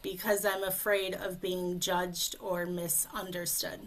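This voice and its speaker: A woman speech coach leading a guided tapping session, speaking slowly and calmly in short phrases for a client to repeat, over a video call. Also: lowish voice, a crowd in the background, poor audio. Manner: slow, calm, leads phrases for repetition.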